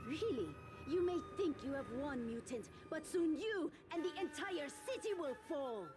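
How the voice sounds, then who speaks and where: A cold, haughty young ninja villainess issuing threats in a game scene. Her voice sits high, over music in the background. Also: expressive, normal speed. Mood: scornful.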